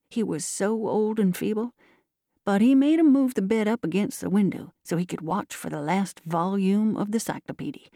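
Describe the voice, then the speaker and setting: A woman's voice: medium pitch, mellow, no room sound, studio quality, lightly acted. A woman voicing a folksy old-time rustic storyteller in country dialect, drawing out a yarn about the neighbors.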